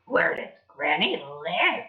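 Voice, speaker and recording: A woman reading a storybook, voicing a sly male wolf with a low, slow drawl, in a dull, echoey room. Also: very animated, exaggerated voice.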